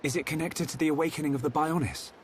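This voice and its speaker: A man's voice: fairly high pitch, fast, slight background noise, lightly acted. An actor playing a resolute young male hero in a fantasy video game, speaking politely and earnestly. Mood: determined. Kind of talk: dramatic video game voice acting.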